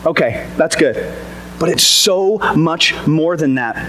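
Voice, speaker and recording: Pastor guy with a boomy voice, pitched a bit high, preaching all chatty and excited into a mic, faint hiss behind. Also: takes it slowly, poor audio. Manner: energetic, chatty, asks the congregation questions. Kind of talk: casual conversational sermon. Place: church service before a congregation. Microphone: amplified mic on a sound system.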